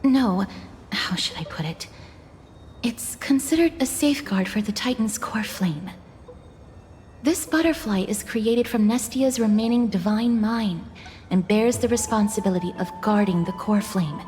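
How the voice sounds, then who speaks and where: A woman playing a gentle, solemn and polite young woman in a fantasy video game, soft-spoken and measured in a formal way. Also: medium pitch, big-room sound, a little hiss or hum, close to an everyday voice. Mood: calm, thoughtful. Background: background music.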